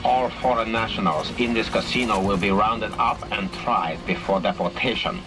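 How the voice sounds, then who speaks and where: A man's voice: on the low side, normal speed, over music, close to an everyday voice, rough recording. An actor playing a pompous, menacing dictator giving an oratorical address to his people in a video game. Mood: imperious, scornful. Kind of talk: propaganda broadcast in a video game.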